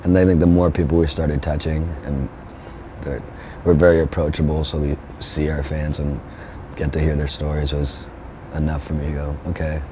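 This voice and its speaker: A young man, a band's frontman, talking in a relaxed, rambling way with lots of fillers. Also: extremely low pitch, normal speed, a little hiss or hum. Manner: laid-back, rambling, with frequent fillers. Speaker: band frontman. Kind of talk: relaxed interview talk.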